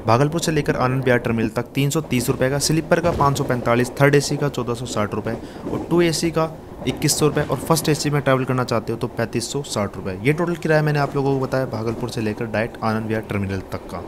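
A man's voice: medium pitch, fast talker. A man narrating a casual travel vlog from a packed train and station, chatty and speaking straight to his viewers. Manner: chatty, addresses viewers as brothers. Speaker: travel vlogger. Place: crowded train and station platforms. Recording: noisy crowded train recording. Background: loud crowd and train noise.

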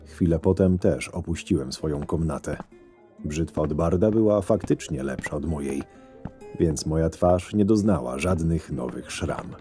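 A man playing a cynical, scheming camp henchman in an audio drama, narrating his days in a dry, measured, sardonic way. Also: extremely low pitch, mellow. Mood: dry, brooding. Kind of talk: first-person scripted narration. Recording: music under the voice. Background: background music.

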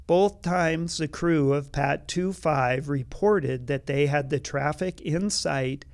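A man reading formal scripted narration in a measured, neutral way. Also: a little high. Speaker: narrator of an official accident animation.

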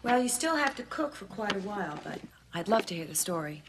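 A middle-aged woman acting in a film as a polite, matter-of-fact suburban lady who calmly plans to cook a boy. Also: medium pitch, fairly quick, natural voice. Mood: politely condescending. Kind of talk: film acting, understated menace. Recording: film soundtrack with faint noise.

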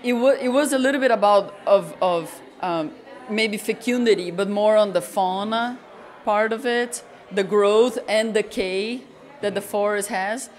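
A woman photographer from Brazil talks through her work in a bright gallery. She speaks thoughtfully and often stops to search for the right word. Her voice is picked up on a handheld mic that the interviewer holds out to her. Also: mid-range voice. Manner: thoughtful, searching for words with pauses. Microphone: handheld mic held by interviewer.

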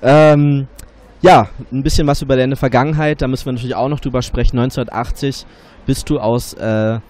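A man's voice: medium pitch, steady tone, brisk, a little mellow. A man in his twenties with a slight cold, hosting a casual interview with a handheld mic outside a café. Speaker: interviewer and host. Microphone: handheld reporter microphone.

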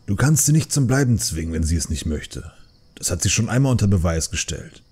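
A man voicing an earnest older pandaren brewmaster uncle in a fantasy audiobook, reasoning and remonstrating in a measured manner. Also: deep voice, big pitch swings, darkish tone, breathy edge, heavily acted. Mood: earnest, firm.

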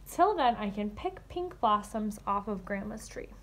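A woman's voice: medium pitch, lively intonation, a little slow, slight background noise, close to an everyday voice. A woman voicing a stubborn, impatient little girl in a storybook, exclaiming in an emphatic, childlike way. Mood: hopeful.

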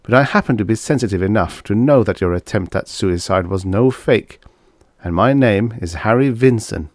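A man's voice: fairly low pitch, normal speed, a little mellow, dry recording, clean studio sound, natural voice. A man playing a bitter, sardonic American scientist in a story, with a blunt, wry, emphatic manner.

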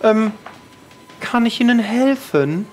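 A man's voice: high voice, animated, slow pace, soft background music. A man voicing a prim, formal, fussy female head housekeeper in an audio roleplay. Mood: flustered, strict.

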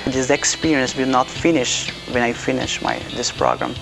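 A man's voice: mid-range voice, fairly even intonation, normal speed, creaky, rough recording. A man from Brazil in his thirties, a doctoral student speaking earnestly in an interview, with frequent hesitations. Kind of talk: interview testimonial. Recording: music bed behind voice.

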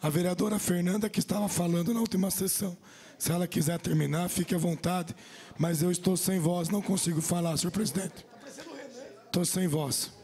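A man in his forties with a São Paulo accent, hoarse and nearly out of voice, making brief council remarks on a handheld microphone. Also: high-pitched, fairly even intonation, fairly quick, dark tone. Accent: Brazilian Portuguese, São Paulo state. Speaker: city councilman.

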